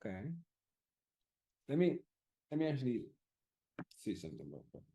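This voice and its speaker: A man's voice: medium pitch, big pitch swings, moderate pace, dark tone. A man running a live audience poll about class times, casually thinking aloud, loose and profane, often repeating himself.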